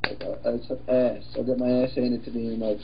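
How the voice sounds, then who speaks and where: A man's voice: medium pitch, flat delivery, a little fast, gravelly, muffled recording, a little hiss or hum. A man co-hosting a casual film chat with friends, rambling and swearing freely. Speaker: podcast co-host.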